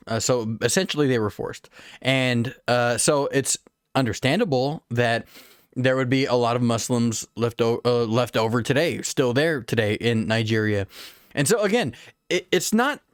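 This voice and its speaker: A man's voice: fairly high pitch, dry recording, studio quality. Chuckling earnest man reacting. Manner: chuckling often, earnest, quoting scripture. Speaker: host of a reaction channel. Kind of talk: casual reaction commentary.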